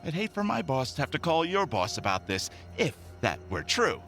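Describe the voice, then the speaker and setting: A man's voice: a little high, very animated, moderate pace, a bit bright, soft background music. A man playing a smug, needling crook on the take in an audio drama, with a cocky, taunting manner. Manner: taunting, mock-wounded, cocky.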